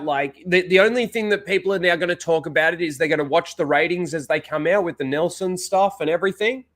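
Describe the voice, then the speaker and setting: A man's voice: a little high, fairly bright tone. A young man with a blocked nose, hosting a casual livestream, rambling with lots of fillers and greeting people in the chat. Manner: rambling, filler-heavy, reads out chat names. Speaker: live stream host.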